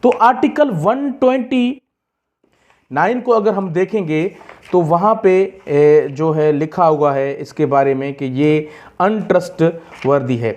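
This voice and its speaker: A man with a Pakistani accent giving an explanatory law lecture, deliberate and repetitive, halting when reading out in English. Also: fairly high pitch, darkish tone. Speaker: law teacher. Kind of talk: explanatory lecture mixing Hindi-Urdu and English.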